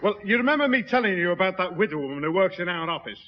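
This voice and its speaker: High-pitched, chummy Northern bachelor. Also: male voice, expressive, racing. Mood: confiding. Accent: Northern English. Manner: chummy, pompously muddles long words. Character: jovial middle-aged bachelor neighbour who mangles fancy words. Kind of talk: 1960s radio sitcom acting. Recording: muffled, hissy, reverberant 1960s radio recording.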